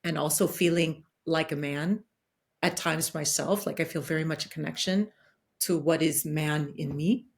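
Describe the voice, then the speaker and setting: A woman's voice: low-pitched, normal speed, creaky. A woman speaking as a podcast guest, reflective and searching, with frequent fillers and restarts. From Guatemalan and Danish roots, partly raised in the United States. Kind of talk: reflective conversational talk.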